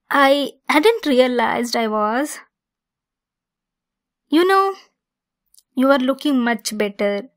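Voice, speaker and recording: A woman plays a possessive, caring centaur in an ASMR roleplay. Her voice sits fairly high and moves very slowly. She sounds intimate and gently teasing, as if speaking to one listener. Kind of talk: ASMR roleplay, scripted monologue.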